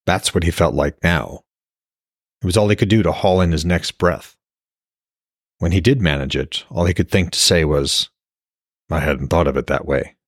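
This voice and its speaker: A man narrates a fantasy audiobook in a low, husky voice. The recording is very dry and close, and the delivery is that of scripted storytelling. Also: normal speed, pauses often, no echo. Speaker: author and podcast narrator.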